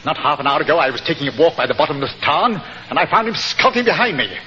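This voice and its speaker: An actor playing an arrogant, hot-tempered rich gentleman in a 1940s radio drama, imperious and blustering. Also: fairly high pitch, very animated, fast talker. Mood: indignant demanding. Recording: dull, reverberant, hissy old radio recording.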